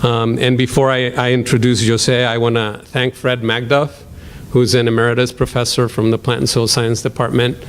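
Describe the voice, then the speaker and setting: Hesitant Salvadoran-accented professor. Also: male voice, mid-range voice, level tone, normal speed, a little hiss or hum. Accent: Salvadoran Spanish. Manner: hesitant, many ums and restarts. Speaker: professor introducing a speaker. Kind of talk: lecture hall introduction.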